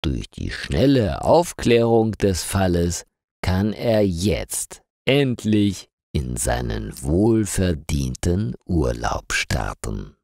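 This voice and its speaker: A man reading a crime story aloud in slow, deliberate scripted narration for language learners. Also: low voice, no echo, studio quality. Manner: clear, deliberate reading with lively intonation.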